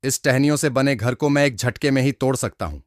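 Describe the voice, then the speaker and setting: A man's voice: mid-range voice, brisk, no echo, clean studio sound, natural voice. A man voicing a greedy, hungry cartoon wolf in a children's story, with a menacing, taunting manner. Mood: threatening. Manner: menacing, taunting, repetitive coaxing.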